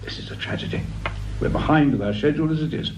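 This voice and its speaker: An actor playing an imperious, curt research director in a British radio crime drama, with an English accent and a pompous, condescending manner. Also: male voice, on the high side, fast, natural voice. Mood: haughty, irritated. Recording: roomy, muffled, slightly noisy radio recording.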